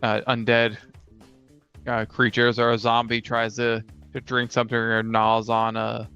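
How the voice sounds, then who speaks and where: A man casually brainstorming fantasy lore as a co-host, pausing as he pieces ideas together, on a dull lo-fi recording. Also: medium pitch, completely flat delivery, sharp tone, clean tone, soft background music. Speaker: podcast co-host. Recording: dull lo-fi sound.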